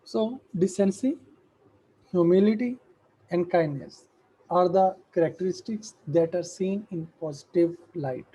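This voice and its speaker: A middle-aged man, an Urdu speaker, giving an academic lecture in a slow, measured way with hesitant fillers. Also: sits high, warm tone, slightly rough, rough recording.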